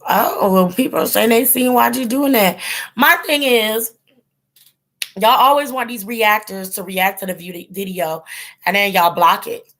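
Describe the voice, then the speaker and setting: Gravelly, fading-voiced woman streamer. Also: medium pitch, a little slow, fairly bright tone. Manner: rambling and informal, heavy with ums and likes. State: losing her voice. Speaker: host of a tarot channel, chatting with live viewers. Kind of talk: casual livestream chat.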